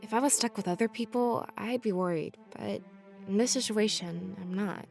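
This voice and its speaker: A man puts on a friendly visual novel comrade, pitched well up into a woman's range. The voice is creaky and reassured, with soft music underneath. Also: normal speed. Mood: relieved, friendly.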